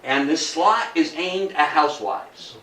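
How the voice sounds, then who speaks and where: A man's voice: fairly high pitch, lively intonation, a little slow, pushed and bright. An older man gives an informal history talk, telling stories emphatically, repeating phrases and asking rhetorical questions. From Athens, New York, United States. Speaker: local history lecturer. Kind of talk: informal public lecture with storytelling. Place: indoor talk before a small audience. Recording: echoey room, distant mic, faint noise.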